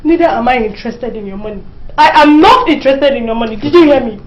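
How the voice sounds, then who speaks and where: A hurt, defensive Nigerian wife. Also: female voice, high-pitched, animated, fast talker, echoey room, rough recording. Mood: distressed. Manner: pleading, protesting, stammers when upset. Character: hurt, defensive young wife hiding an affair.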